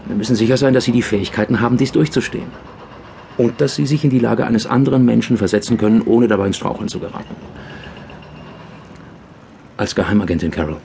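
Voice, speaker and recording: An actor playing a formal, persuasive police chief in his forties in a German radio drama, speaking in measured official sentences. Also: medium pitch, creaky, darkish tone, a little hiss or hum, lightly acted. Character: smooth-talking, formal male Europol chief in his mid-forties.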